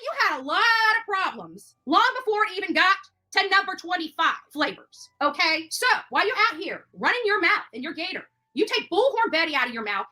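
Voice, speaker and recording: A woman's voice: very high-pitched, pushed and bright. A woman on a livestream from her home, combatively calling someone out with scornful, sassy jabs like "babe" and "honey". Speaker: YouTube live streamer. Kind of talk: ranting livestream callout.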